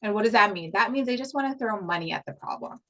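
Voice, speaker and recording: A woman's voice: medium pitch, lively intonation, quick pace, pushed and bright. An upbeat woman from Hawaii talks through a podcast sales lesson in a loose, chatty way. She repeats her key points and drops casual swears as she goes. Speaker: podcast coach. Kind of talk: casual online course talk.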